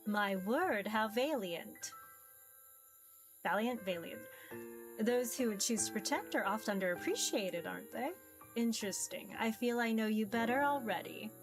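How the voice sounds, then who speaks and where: A woman voicing a mysterious ancient being in a visual novel, formal, measured, amused and curious about humans. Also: a little high, animated, soft background music. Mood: amused, probing. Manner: formal, measured, archaic phrasing. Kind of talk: visual novel character read-aloud.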